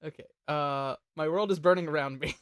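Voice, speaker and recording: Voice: medium pitch, fairly quick, clear, resonant tone, dry recording. A host leading a casual group chat that ranks songs, joking and swearing freely.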